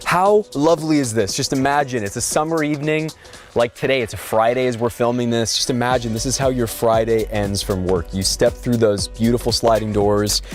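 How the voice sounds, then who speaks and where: A man with a slightly hoarse voice, a real estate agent talking quickly and energetically outdoors, switching between casual explanation and an upbeat sales pitch. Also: fairly even intonation, soft background music. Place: outdoors at a house.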